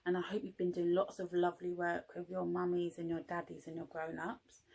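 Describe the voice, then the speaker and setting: A woman's voice: fairly low pitch, completely flat delivery, brisk, vocal fry, a little mellow, breathy edge. A woman, an early years teaching assistant at home, encouraging young children and asking them questions as she reads a story.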